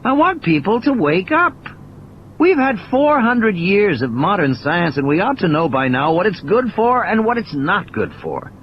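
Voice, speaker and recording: A man acts out an injured, cynical scientist for an audio play. He lectures irritably and emphatically, pitch leaping up and swinging widely as he piles up repetitions, with faint noise behind him. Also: big pitch swings, normal speed, highs cut off. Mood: urgent. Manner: emphatic philosophical ranting in insistent repetitions.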